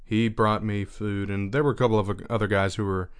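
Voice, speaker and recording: A young man speaks in a lowish, resonant voice, earnest and unhurried. He reflects aloud in a personal monologue, pausing to find his words. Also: fairly even intonation, smooth. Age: young adult.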